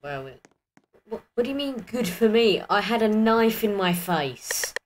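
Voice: medium pitch, sing-song, measured pace. A person giving casual gameplay commentary, muttering and thinking aloud. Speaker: gamer recording gameplay.

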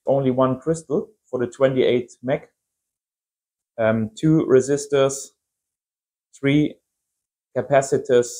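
Male vlogger, thirties, German accent, mellow tone, unhurried casual explainer delivery. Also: medium pitch. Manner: simple non-native English phrasing. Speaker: amateur radio vlogger.

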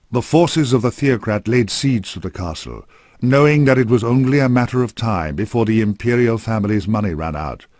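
A man narrating a scripted dark fantasy game story in a grave, measured storytelling manner. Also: medium pitch, no echo, studio quality.

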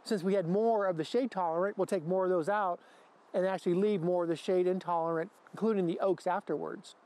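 A man's voice: sits high, fairly even intonation, brisk, mellow. An American man in his fifties, a researcher giving an educational explanation to camera outdoors. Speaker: forest researcher. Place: outdoors in a forest clearing.

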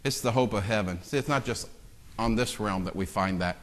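A man preaching a sermon as pastor, swinging from hushed, joking asides to loud, impassioned exclamations. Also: lowish voice, brisk. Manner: self-deprecating joking with the congregation. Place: church sanctuary. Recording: long church-hall reverb.

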